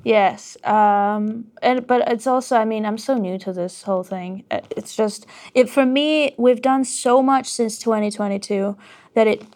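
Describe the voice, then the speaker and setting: A woman's voice: on the high side, a little mellow. Woman, hesitant guest singer. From Armenia. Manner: hesitant, many pauses and ums. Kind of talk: casual interview. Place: radio studio.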